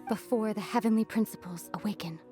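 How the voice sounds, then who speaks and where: A woman voicing a solemn, composed young wanderer with quiet resolve in a scripted game story. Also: medium pitch, fairly quick, lightly acted. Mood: solemn, reflective. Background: game music.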